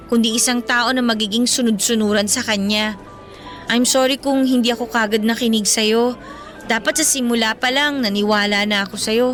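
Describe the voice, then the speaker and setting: A woman performs a young student girlfriend, imploring and emotional, in radio drama style. Her voice is high-pitched and sharply bright, full of desperation, with faint music underneath. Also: close to an everyday voice. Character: pleading, desperate teenage student girlfriend, Melissa. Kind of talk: radio drama acting in Filipino.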